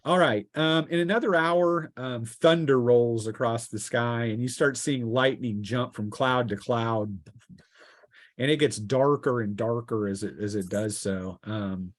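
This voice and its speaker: Halting man running a tabletop game. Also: medium pitch. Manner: halting, restarting descriptions mid-sentence. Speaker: game master. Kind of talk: improvised tabletop roleplaying narration.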